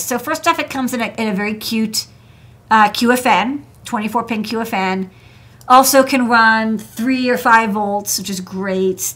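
A woman's voice: on the high side, a bit bright. An American woman hosting a technical livestream, chatting informally about electronics with plenty of casual asides. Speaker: host, electronics engineer. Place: indoor desk workspace.

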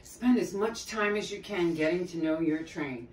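A woman's voice: lowish voice, normal speed. A middle-aged woman reading a children's story aloud, expressively, with chatty asides to her young listeners. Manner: expressive, addressing children and prompting them. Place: small tiled sitting room at home, indoors. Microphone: phone camera a few metres away. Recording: roomy, distant mic with background noise.

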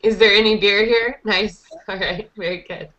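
A young woman teaches conversational English in an encouraging manner. Her voice is bright and sits at a middling pitch. It comes through a headset on a video call, with a muffled, lo-fi edge. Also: steady tone, moderate pace. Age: young adult. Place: small indoor room, group video call. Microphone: headset boom mic.

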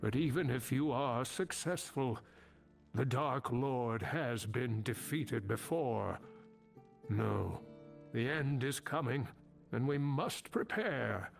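An older actor playing a grave, weary old scholar and mentor in a video game, speaking in a solemn, halting way. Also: male voice, medium pitch, expressive, gravelly, soft background music. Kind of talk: dramatic video game dialogue.

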